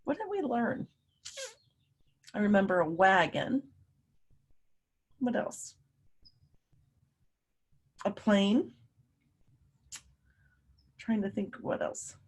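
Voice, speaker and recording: A woman teacher reading a picture book aloud to children, pausing to ask them simple, encouraging questions. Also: medium pitch, very animated, husky.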